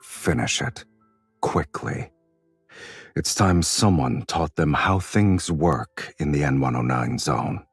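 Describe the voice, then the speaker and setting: A man voicing a suave, teasing male crime boss in a game, with a very deep, husky, low-key drawl. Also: takes it slowly, no room sound, faint music behind, full character voice. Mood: commanding.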